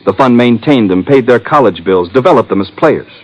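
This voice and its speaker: A man's voice: mid-range voice, expressive, a little fast, lightly acted. An actor playing a fretful, self-important public relations man in a 1950s radio drama, formal and insistent. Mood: defensive. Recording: dull, reverberant old radio recording.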